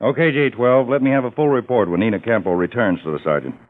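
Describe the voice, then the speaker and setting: An actor playing an authoritative, shrewd male law-enforcement chief in an old-time radio crime drama, brisk and emphatic. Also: medium pitch, slight background noise, close to an everyday voice. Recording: muffled, narrow old radio recording.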